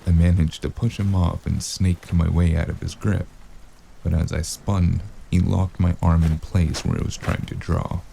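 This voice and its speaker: A man playing a weary, sardonic investigator in a scripted cyberpunk noir audio drama, telling his story in a gravelly, cursing voice. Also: low voice, level tone, moderate pace, mellow, a little hiss or hum. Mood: tense, weary. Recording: music bed behind the voice.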